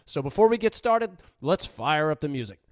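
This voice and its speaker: A man hosting his own podcast in an upbeat, energetic manner, his voice still slightly raspy from a recent voice loss. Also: on the high side, normal speed, no echo. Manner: upbeat, energetic, lots of shout-outs. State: recovering from voice loss. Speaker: podcast host. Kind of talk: casual podcast monologue.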